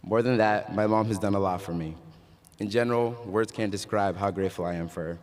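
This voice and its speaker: Young man from Ghana, very deep voice, long hall reverb, joking then earnest graduation speech. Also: brisk, a little mellow. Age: young adult. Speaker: graduation speaker. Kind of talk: commencement speech. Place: large hall.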